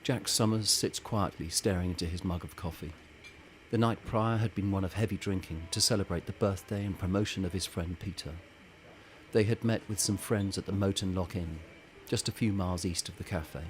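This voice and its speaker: Deep-voiced male audio drama narrator. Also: moderate pace, slight background noise.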